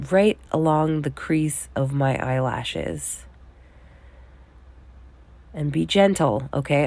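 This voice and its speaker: A young woman with a low voice, nasal and a little weak from being sick. She talks casually and relaxed, often checking in with "okay?". The recording is dry and close, made in a small bedroom. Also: no echo. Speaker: beauty vlogger. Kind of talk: casual voice-over tutorial.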